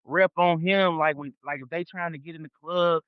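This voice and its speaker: A man's voice: fairly high pitch, steady tone, normal speed, smooth, no room sound. A man in his thirties co-hosting a podcast, ranting bluntly and emphatically with plenty of swearing. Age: 30s. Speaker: podcast co-host. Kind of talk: casual podcast chat. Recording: dull, dry, lo-fi sound.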